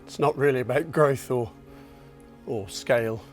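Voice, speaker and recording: A man in his sixties answering interview questions in a reflective, modest way, pausing and restarting as he thinks. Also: medium pitch, faint music behind. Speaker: company founder and chairman.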